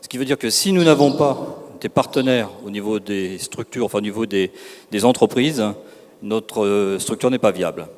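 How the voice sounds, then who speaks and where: A man's voice: on the low side, normal speed, a little mellow. A man giving a semi-improvised seminar presentation as an organisation's representative, emphatic and insistent, sometimes searching for his words. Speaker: representative of a work-integration company speaking at a seminar. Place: large reverberant room at a seminar. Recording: echoey hall with long reverb.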